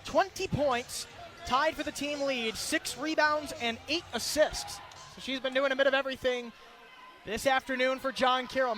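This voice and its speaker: A man giving energetic live radio play-by-play of a basketball game in clipped, running descriptions. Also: very high-pitched. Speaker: play-by-play announcer. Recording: live gym broadcast, clear background noise. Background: gym ambience with bouncing basketballs.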